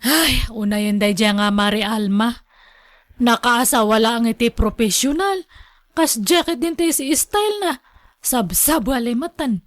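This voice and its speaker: A woman playing a discontented, sharp-tongued village wife and mother in a radio drama. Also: a little high, lively intonation, unhurried, dry recording. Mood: complaining.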